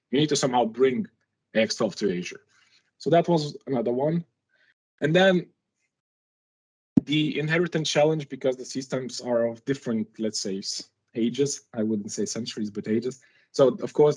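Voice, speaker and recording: A man with a slightly rough, husky voice presents technical material as a non-native English speaker. His pitch sits in a middle male range. He talks informally, walking listeners through the material with rhetorical questions. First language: not English. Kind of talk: conference-style technical presentation, conversational.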